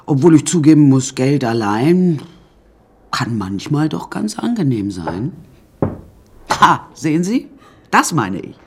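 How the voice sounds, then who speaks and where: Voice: mid-range voice, very animated, slow, long pauses, slight background noise. An actor playing an imperious, eccentric older woman painter in a radio drama, haughty and theatrical, addressing the listeners directly. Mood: self-important.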